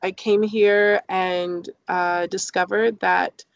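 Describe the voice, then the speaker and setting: A woman in her thirties from London, telling personal stories casually and jokingly as an interview guest, with animated emphasis. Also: mid-range voice, slow, vocal fry, no echo, muffled recording.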